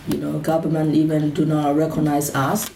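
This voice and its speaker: Low-voiced Burmese-accented woman in an echoey room. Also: completely flat delivery, normal speed, vocal fry, dark tone. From Burma, Karen community. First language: Karen. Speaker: interview guest. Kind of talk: personal life-story interview. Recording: roomy echo, distant mic, faint noise.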